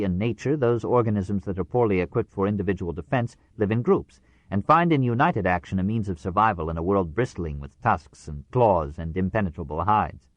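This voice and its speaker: A man narrating a nonfiction audiobook in a measured, formal way with precise phrasing. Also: fairly low pitch, dry recording, highs cut off.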